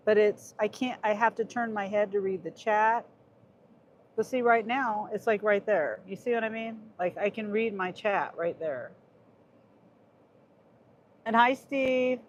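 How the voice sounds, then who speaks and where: Midwest lady rambling slow on her phone live in the car, reads chat out loud. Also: medium pitch, highs cut off, a little hiss or hum. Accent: Midwest American. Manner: chatty, rambling, reads viewer chat aloud. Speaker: gig worker livestreamer. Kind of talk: casual livestream chat. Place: inside a car. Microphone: phone on a dashboard mount.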